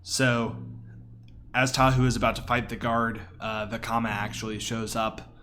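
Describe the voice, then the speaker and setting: A man's voice: mid-range voice, level tone, normal speed, faint music behind. A man giving casual, enthusiastic live commentary on his own video game speedrun in a conversational way. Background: quiet game audio.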